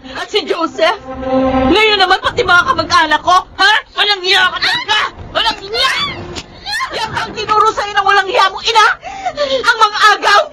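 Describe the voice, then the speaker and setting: A woman's voice: very high-pitched, moderate pace, shouting, a crowd in the background, background noise. An actress with a Filipino accent playing a spoiled, jealous grown daughter in her twenties, shrieking accusations in a radio drama. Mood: furious, accusing.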